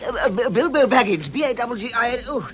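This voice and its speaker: An actor playing a timid, polite hobbit who stammers anxiously, in a radio drama. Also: male voice, very high voice, animated, a little fast, noisy background. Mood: pleading, frightened.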